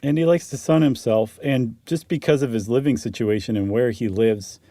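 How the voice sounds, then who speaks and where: A man's voice: mid-range voice, normal speed, dark tone, no echo. A man co-hosting a podcast, chatting casually in a joking, agreeable way. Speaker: podcast co-host. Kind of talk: casual chat.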